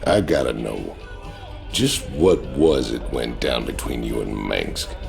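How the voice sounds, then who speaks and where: Extremely deep, gravelly outlaw growl. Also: male voice, sing-song, takes it slowly, music in the background, heavily acted. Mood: brooding, wry. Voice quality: gravelly, rumbling. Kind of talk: video game voice acting.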